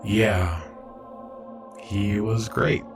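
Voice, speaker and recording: An actor playing an earnest, modest young robot apprentice medic in an audio drama, reflective and a little hesitant. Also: male voice, fairly low pitch, steady tone, drawn-out words, music in the background. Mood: hesitant, respectful. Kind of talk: audio drama acting and narration.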